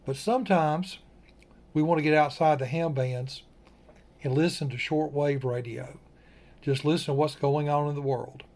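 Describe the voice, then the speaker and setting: A man's voice: fairly high pitch, steady tone, moderate pace, darkish tone. A man hosting a radio tutorial in a folksy, plain-spoken way, walking through an informal step-by-step demonstration in short phrases. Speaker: ham radio tutorial host.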